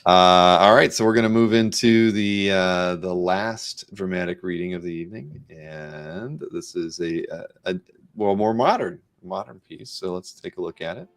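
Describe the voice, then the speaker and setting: A man's voice: sits low, normal speed. A man hosting a live interview show in a casual, chatty style.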